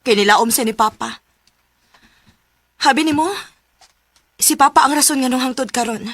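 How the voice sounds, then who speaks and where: A proud young Cebuano daughter in a radio drama. Her voice is high and bright, recorded dry and close. She is blunt, stubborn and fiercely protective. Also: normal speed, dry recording. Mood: bitter, resolute. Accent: Filipino, Cebuano. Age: young adult.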